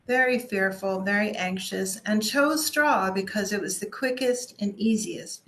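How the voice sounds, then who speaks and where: A woman speaker and coach giving a scripted personal testimony as a story, with deliberate, emphatic pauses. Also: mid-range voice, a bit bright.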